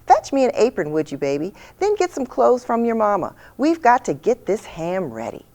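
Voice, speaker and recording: A woman's voice: fairly high pitch, huge pitch swings, moderate pace, dark tone. A woman voicing an affectionate, folksy favorite aunt in a children's storybook, coaxing fondly. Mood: affectionate, bossy.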